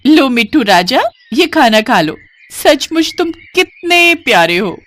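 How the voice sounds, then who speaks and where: A woman's voice: high-pitched, big pitch swings, a little slow, fairly bright tone, slight background noise, pitched above the performer's own voice, heavily acted. A woman voicing a humble, kind young village housemaid in a cartoon story, polite and emotional. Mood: affectionate.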